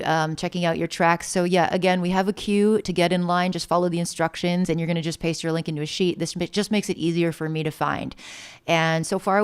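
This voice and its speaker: An enthusiastic woman in her thirties hosts a music feedback stream. She talks in a loose, chatty way with a slightly low voice. Her tone is smooth and clear. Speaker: livestream host. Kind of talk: upbeat casual stream hosting.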